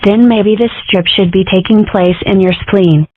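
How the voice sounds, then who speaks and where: A woman's voice: mid-range voice, steady tone, normal speed, dry recording, close to an everyday voice. A woman voicing a sardonic young fox girl in a comic dub, with a dry, wry delivery. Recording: muffled narrow-band lo-fi audio.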